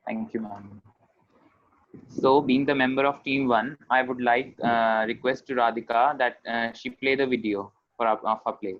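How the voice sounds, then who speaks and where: A man's voice: mid-range voice, steady tone, moderate pace, lo-fi sound. A teenage male student with an Indian accent, politely and formally presenting in an online class. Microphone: video call. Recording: faintly noisy video-call audio.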